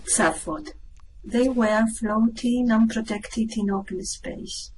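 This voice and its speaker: A woman playing a calm, practical spaceship crew member in an amateur radio play. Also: on the high side, slow pace, rough recording. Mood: matter-of-fact. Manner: patient, matter-of-fact, reassuring. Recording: noticeable background noise.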